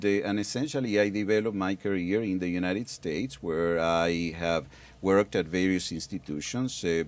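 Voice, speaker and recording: A middle-aged man from Argentina, a guest on a public affairs program, explaining at length with hesitations and restarts. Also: low-pitched. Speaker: guest expert.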